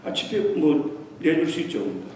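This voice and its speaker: A man's voice: fairly high pitch, very animated, a little fast, darkish tone, a little hiss or hum. A middle-aged man, a visiting pastor, telling anecdotes in a sermon and mixing in English terms. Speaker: guest revival pastor. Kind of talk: storytelling sermon. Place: church sanctuary. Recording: echoey church hall from far off.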